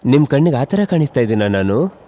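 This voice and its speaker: A man voicing a young male train traveller in a horror story, chatty and expressive with some hesitation. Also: mid-range voice, a little fast, lightly acted. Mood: friendly, eager. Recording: music behind the voice.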